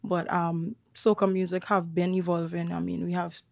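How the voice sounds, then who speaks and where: Trinidadian woman, lower voice, dry sound. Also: moderate pace, creaky, no echo. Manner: relaxed, talkative, with frequent fillers and restarts. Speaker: guest singer. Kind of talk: casual interview chat.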